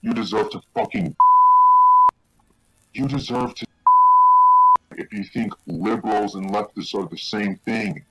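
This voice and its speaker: A man rambling casually and swearing heavily through a low voice changer, recorded on a loud, distorted microphone. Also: unhurried, pauses often, breathy edge. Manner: heavy swearing. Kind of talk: rambling casual personal monologue.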